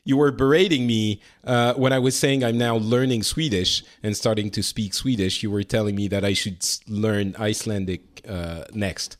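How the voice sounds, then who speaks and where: Chatty host, halting and laughing. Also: male voice, on the low side, steady tone. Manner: hesitant, many fillers, laughs easily. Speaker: podcast host. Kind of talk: casual panel chat.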